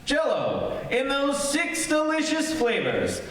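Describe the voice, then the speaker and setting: A man's voice: very high voice, wildly swooping pitch, slow pace, a bit bright. A man announcing in a theatrical, presentational old-time radio style, as in a live stage production. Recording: roomy, distant mic with faint noise.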